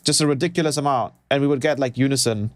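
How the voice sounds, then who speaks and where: A man's voice: medium pitch, fast, no echo. A man who produces music, thinking aloud in a casual, hesitant way as he talks through a livestream tutorial. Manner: hesitant, musing while experimenting. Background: synthesizer music.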